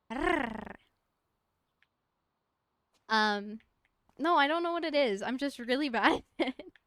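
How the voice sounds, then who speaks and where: A woman's voice: fairly high pitch, fairly bright tone, smooth, dry recording, clean studio sound. A young woman streaming a game from home, chatting casually in a rambling, giggly way and talking to objects in the game. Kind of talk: casual chat while gaming.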